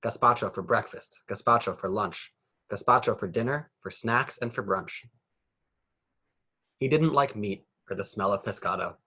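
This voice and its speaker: A man's voice: lowish voice, moderate pace, bright, forceful tone, breathy edge. A man reading a children's picture book aloud with rhythmic, sing-song phrasing. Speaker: children's story reader.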